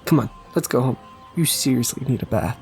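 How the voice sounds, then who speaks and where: A man's voice: medium pitch, a little fast, faint music behind, natural voice. A man voicing an anxious, doting mother in an audio drama, fussing affectionately over a child. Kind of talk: audio drama character dialogue.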